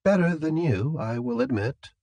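A male narrator plays a polite captor in an audiobook. The delivery is measured and formal, detached yet courteous, with businesslike calm. The sound is close and dry. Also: on the high side, vocal fry, no echo, pitched above the performer's own voice, full character voice. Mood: politely detached. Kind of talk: audiobook character dialogue.

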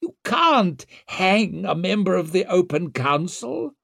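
Shrill, whining, desperate nobleman. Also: sing-song, slow pace, vocal fry, fairly bright tone, no echo, studio quality, full character voice. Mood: panicked wailing. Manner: wheedling, melodramatic, indignant. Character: whining, desperate, self-pitying accused nobleman, blustering and shrill.

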